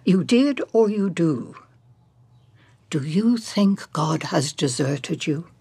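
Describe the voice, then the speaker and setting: An actress in a radio drama playing a probing, insistent counselor who speaks deliberately and philosophically, posing question after question. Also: female voice, fairly low pitch, lively intonation, mellow, husky, no room sound, full character voice. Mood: pressing questions.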